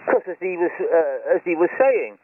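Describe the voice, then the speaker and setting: A man's voice: a little high, big pitch swings, moderate pace. A man chatting casually over amateur radio in a rambling, hesitant way. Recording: tinny ham radio signal with hiss. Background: radio hiss.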